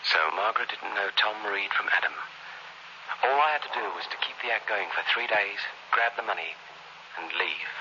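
A man's voice: on the low side, fairly quick, bright, forceful tone. An actor playing a desperate impostor who narrates his own scheme in a tense, hard-boiled way in a 1960s radio suspense drama. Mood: grim, calculating. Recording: very noisy, muffled old radio recording.

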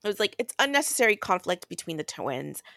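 A woman's voice: mid-range voice, very animated, fast talker, creaky, bright, forceful tone, no room sound. Blunt, exasperated woman in a dry close recording. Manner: exasperated, freely swearing, blunt. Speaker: podcast co-host. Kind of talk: casual book recap and commentary.

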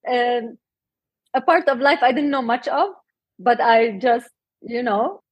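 A middle-aged woman from Lebanon, a health coach and guest, talking in a relaxed interview and laughing often. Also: on the high side, takes it slowly, slightly rough, no echo, dull audio. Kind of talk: casual interview talk.

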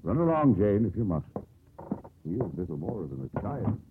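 Casting: stern, gruff captain, lowish voice with big swings, thin vintage radio sound. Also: male voice, sing-song, moderate pace. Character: gruff, formal sea captain and father. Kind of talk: 1950s radio drama acting. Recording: muffled, hissy 1950s radio broadcast.

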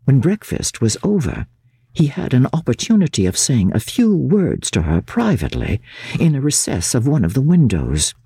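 A woman giving a formal, expressive and measured dramatic narration of a diary from a classic novel. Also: rumbling low, husky, no echo. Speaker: audiobook narrator.

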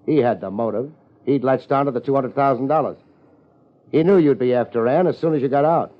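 An actor playing a cool, wry private detective in a 1950s American radio drama, with a laid-back, clipped way of talking and an American accent. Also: male voice, medium pitch, a little fast, natural voice. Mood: accusing. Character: cool, hard-boiled male private detective. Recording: muffled old radio broadcast.

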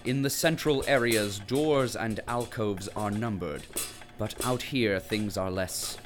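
Slow deliberate man narrating a grim drama, loud music behind. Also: fairly low pitch. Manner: slow, grave storytelling.

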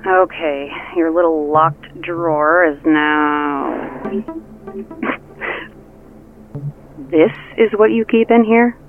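An actress playing an exasperated, sarcastic, curt co-worker over a phone in an audio drama. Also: female voice, sits low, slow pace. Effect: phone filter. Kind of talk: naturalistic audio drama acting. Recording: muffled phone-filtered sound with music.

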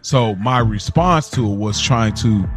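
A man co-hosting a casual podcast, explaining himself in an earnest, measured way. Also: fairly low pitch, lively intonation. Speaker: podcast co-host. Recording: voice over music bed. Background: music.

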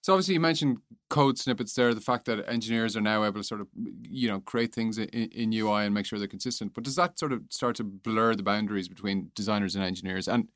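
A man's voice: fairly low pitch, fast, hardly any pauses, fairly bright tone, no echo, studio quality. A man working as an interviewer, putting questions in a conversational way.

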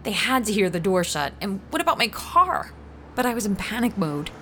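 A woman's voice: mid-range voice, big pitch swings, moderate pace, sharp tone, slight background noise. An actress playing a frightened ordinary woman in an audio drama, narrating and speaking in a tense, hesitant way. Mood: panicked. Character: anxious ordinary woman, a frightened witness narrating her ordeal. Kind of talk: first-person audio drama narration and dialogue.

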